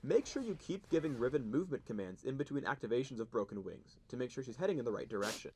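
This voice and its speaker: A man's voice: medium pitch, lively intonation, quick pace, a little mellow. Man narrating gameplay over noisy background. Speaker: game tutorial narrator.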